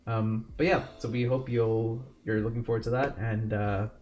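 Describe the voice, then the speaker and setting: A man's voice: mid-range voice, steady tone, normal speed, darkish tone, a little hiss or hum. Rambling young man on a podcast. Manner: rambling, self-mocking, many restarts. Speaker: podcast co-host. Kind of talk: casual video-call podcast chat. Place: home bedroom. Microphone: desk mic with pop filter.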